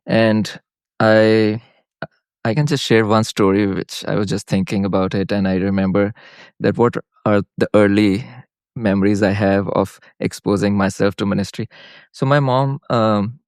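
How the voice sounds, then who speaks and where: Podcast guest, man from Pakistan, low steady voice, earnest storyteller who restarts often, dry room. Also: clean tone, no echo. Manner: halting, frequent restarts and fillers, earnest. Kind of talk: casual conversational storytelling.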